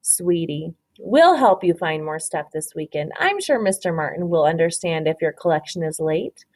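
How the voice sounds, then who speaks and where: Lady reading kind helpful mom from a kids picture book, lively up and down voice. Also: low-pitched, normal speed, voice pushed down. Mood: soothing, reassuring. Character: helpful, caring mother in a children's storybook.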